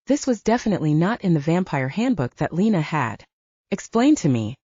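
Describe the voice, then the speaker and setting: A woman's voice: sits low, big pitch swings, fairly quick, mellow, husky, no echo, muffled recording. A woman narrating a fiction audiobook in a dramatic first-person storytelling style.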